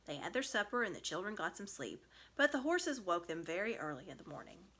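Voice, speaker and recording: A woman giving an expressive scripted narration of a children's story. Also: lowish voice, fairly quick, breathy edge. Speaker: storybook reader.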